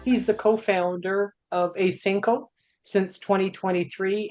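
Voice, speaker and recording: A woman's voice: mid-range voice, a little mellow, lo-fi sound. Woman reading a formal guest introduction. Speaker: podcast co-host.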